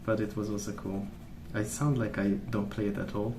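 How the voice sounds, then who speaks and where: A soft-spoken man rambling in an ASMR style, with a hesitant, meandering and unhurried way of recollecting. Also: lowish voice, flat delivery. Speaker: ASMR video host. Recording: roomy, distant mic, noisy background. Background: pencil colouring sounds, background noise.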